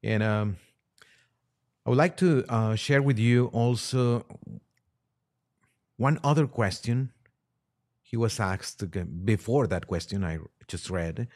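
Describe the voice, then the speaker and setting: A man reading quotes aloud and commenting on them as a podcast guest, with halting, deliberate phrasing. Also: lowish voice, a little mellow.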